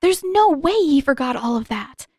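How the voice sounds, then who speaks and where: A woman voicing a brisk, stubborn, flustered teenage girl with urgent emphasis in a fan fiction audiobook. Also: sits high, animated, a little mellow, dry recording, studio quality, pitched above the performer's own voice.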